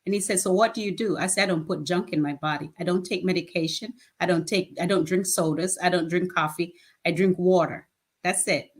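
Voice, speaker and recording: A woman in her fifties, a charity founder, talking in a plain-spoken, earnest way in a casual interview and laughing often. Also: on the low side. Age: 50s. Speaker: guest, nonprofit founder.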